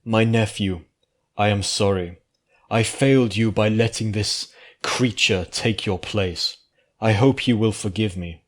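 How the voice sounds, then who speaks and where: A man voicing a regal female pony princess with a measured, imperious and solemn manner in a fantasy story. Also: on the low side, lightly acted. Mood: solemn, gentle.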